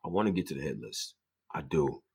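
A man in his thirties hosting a casual, joking hip-hop interview, his voice jumping high when he gets excited. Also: a little fast, vocal fry, husky. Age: 30s.

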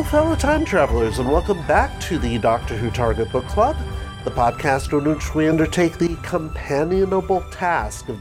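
A congested man hosting a book club podcast, talking in a casual, dry, self-mocking way. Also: medium pitch. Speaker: podcast host.

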